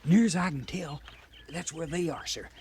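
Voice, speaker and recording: An earnest young cavalry trooper played by a male actor, loyal and a little anxious, in a fairly high voice. His rural Western drawl is folksy and deferential, heard on an old radio western with faint noise. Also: normal speed, darkish tone, lightly acted. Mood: hushed, nervous. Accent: rural American West drawl. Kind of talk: 1950s radio western acting. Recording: faintly noisy 1950s radio recording.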